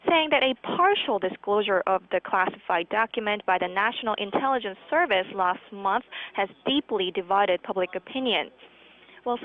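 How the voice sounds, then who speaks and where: A woman political correspondent delivering a live news report over the telephone. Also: medium pitch. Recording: tinny narrowband phone line.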